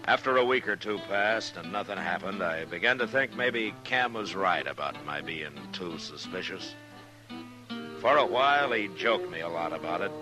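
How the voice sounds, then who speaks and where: An actor playing a calm, watchful frontier marshal in a 1950s radio western, measured and plain-spoken, with an American accent. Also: male voice, deep voice, over music, poor audio. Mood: reflective, uneasy. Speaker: actor playing the lead and narrator. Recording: vintage 1950s radio recording.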